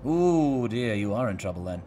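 A man playing an eccentric, quick-witted and evasive centuries-old time traveller in an audio drama, chatty and hesitant, given to exclamations. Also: mid-range voice, big pitch swings, warm tone, natural voice. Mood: breezy, wry. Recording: clear background noise.

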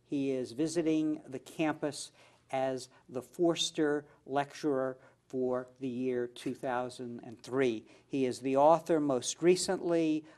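A man hosting a formal interview, asking his guest questions in a measured, courteous way. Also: mid-range voice, darkish tone. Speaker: interview host.

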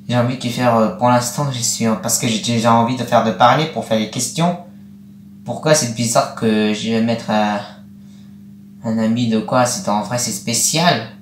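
A young man in his late teens speaking casually for a vlog, in a rambling, repetitive and halting way. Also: medium pitch, steady tone, slight background noise. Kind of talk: casual vlog with acted-out conversations. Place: small attic bedroom, indoors. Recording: roomy, echoey webcam-style recording.

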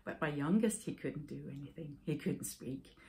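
A woman in her forties from Denmark, a native Danish speaker, hosting a knitting podcast and telling a personal story in a thoughtful, halting way with many fillers. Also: low-pitched, creaky, dark tone. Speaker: podcast host. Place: home room with yarn shelves, indoors.

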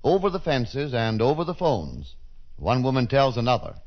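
American male announcer, medium pitch, 1930s sponsor read, muffled old radio recording. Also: sing-song, moderate pace.

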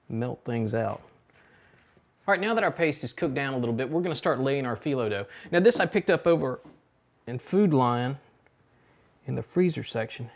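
A middle-aged man hosting a cooking show, talking through a recipe step by step in a relaxed, instructional way from a home kitchen. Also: on the high side.

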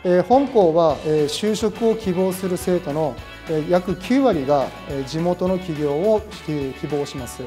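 A middle-aged man giving formal, polite and measured interview remarks as a school teacher. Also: a little high, lively intonation, over music. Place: school meeting room indoors.

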